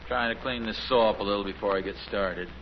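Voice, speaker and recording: A man's voice: on the low side, fast, natural voice. A man playing a boastful, fumbling middle-aged suburban dad and amateur handyman in 1950s American radio sitcom style. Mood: patient, muddled. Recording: noisy, muffled 1950s radio recording.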